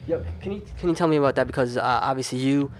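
A man with a medium-pitched voice hosts a casual interview. He speaks informally and feels his way into his phrasing. Faint room noise sits behind him. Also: fast. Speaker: podcast host, interviewer.

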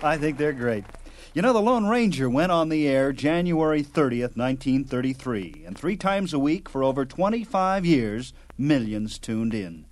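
A man speaking as a host, presenting highlights of old-time radio. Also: big pitch swings, moderate pace, a little hiss or hum.

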